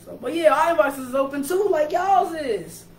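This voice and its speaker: A woman in her thirties with a New York accent, co-hosting a casual livestream chat in an animated, slangy way. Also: high-pitched. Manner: animated, slangy, quick asides. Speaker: page admin and co-host. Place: indoor kitchen. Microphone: camera or laptop mic. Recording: echoey kitchen with background noise.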